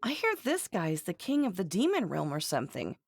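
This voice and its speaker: An actress playing a plainspoken, teasing young woman in an English-dubbed anime game, with a casual, expressive manner. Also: on the low side, a little fast, vocal fry, no echo, studio quality, heavily acted. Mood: casual, musing.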